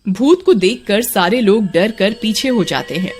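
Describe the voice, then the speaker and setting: A woman's voice: medium pitch, animated, unhurried, darkish tone. A woman reading scripted story narration as a storyteller. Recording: music behind the narration.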